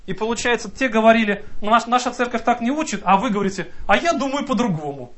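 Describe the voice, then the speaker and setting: A man's voice: very high voice, lively intonation, quick pace, fairly bright tone. A man preaching a sermon, earnest and exhorting, reading scripture aloud and mimicking the excuses of doubters.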